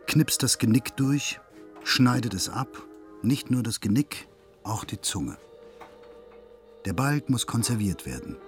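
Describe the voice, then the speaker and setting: An actor playing a detached narrator of a macabre radio drama, listing details in terse, clinical phrases. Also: male voice, mid-range voice. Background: music.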